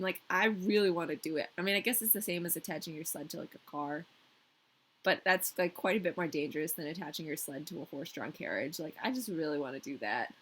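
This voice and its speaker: A woman's voice: lowish voice, fairly bright tone, slight background noise, rough recording. A woman reading a fairy tale as a storyteller, informal and playful with her listener. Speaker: storyteller, podcast host. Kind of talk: scripted fairy tale narration with casual asides.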